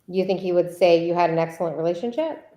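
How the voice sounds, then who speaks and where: Persistent female litigator, read by a woman, lowish voice, deliberate and needling in a deposition reenactment. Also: mellow, lightly acted. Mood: insistent, exasperated. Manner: insistent, repeats questions deliberately. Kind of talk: read-aloud deposition transcript.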